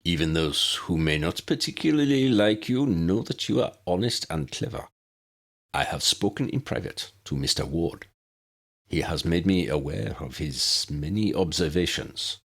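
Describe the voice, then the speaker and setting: A grave, measured minister for a storybook, acted by a male narrator. The voice is very deep and a little rough. He speaks formally and deliberately, with solemn authority. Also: animated, fairly bright tone, full character voice.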